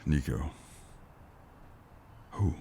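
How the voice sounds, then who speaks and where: A man acting a stoic, weary operative and father in an audio drama, speaking in a measured, resigned way. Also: warm tone, slight background noise. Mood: surprised.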